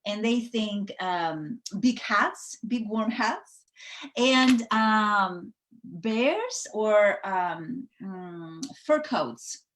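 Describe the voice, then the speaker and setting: A woman's voice: medium pitch, animated, very slow, fairly bright tone. A woman from the Soviet Union whose first language is Russian, chatting casually on a video call with lots of fillers and restarts. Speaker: guest, network founder. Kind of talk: casual conversation.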